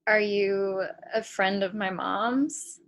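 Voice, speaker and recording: A woman's voice: mid-range voice, fairly even intonation, slow, fairly bright tone. A woman playing a wary, blunt, indignant schoolgirl in a comic stage play reading. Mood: wary, puzzled. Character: skeptical, indignant schoolgirl meeting her future self. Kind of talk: stage comedy acting in a table read.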